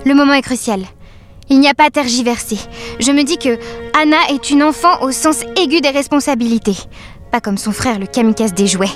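A woman's voice: high voice, brisk, soft background music, lightly acted. A woman playing a watchful, hopeful toy doll who narrates an audio drama in an earnest, poetic way. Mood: urgent hope. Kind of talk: literary first-person audio drama narration.

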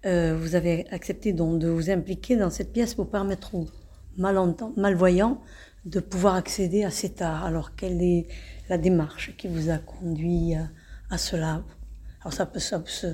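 A woman's voice: on the low side, dark tone, slightly rough. A woman interviewer who asks careful questions and rephrases them as she goes.

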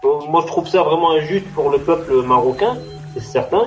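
A man's voice: fairly high pitch, a little fast, highs cut off, soft background music. A man calling in to a radio show, speaking hesitantly with many fillers while he has a cold. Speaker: caller.

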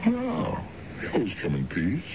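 An actor playing a middle-aged husband, colloquial and dismissive, bickering in a radio drama. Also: male voice, lowish voice, huge pitch swings, unhurried. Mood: enthusiastic, casual. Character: exasperated middle-aged husband, a science fiction fan. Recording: dull lo-fi radio with clear noise.

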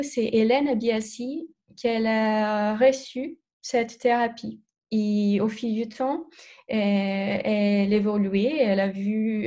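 A Brazilian woman speaking French with a Brazilian accent, a therapist guest in a podcast interview, talking hesitantly with many pauses and restarts. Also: a little high, mellow. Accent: Brazilian Portuguese.

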